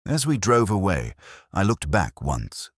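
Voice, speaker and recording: A man narrates a detective story in the first person with a measured, literary solemnity. His voice is extremely deep and rough-edged, yet moves expressively up and down. The recording is dry and close. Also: wildly swooping pitch, no echo.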